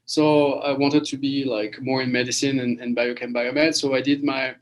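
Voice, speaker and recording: A man's voice: mid-range voice, level tone, normal speed. A man from France, giving a webinar lecture over a video call, with many fillers and restarts. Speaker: guest lecturer.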